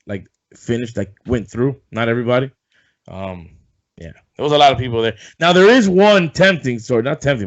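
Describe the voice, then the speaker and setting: A man hosting a casual sports podcast, rambling and loose, swearing offhand, a bit hoarse as if coming down with something. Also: mid-range voice. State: losing his voice, feeling sick. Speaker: podcast host. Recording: dull lo-fi sound.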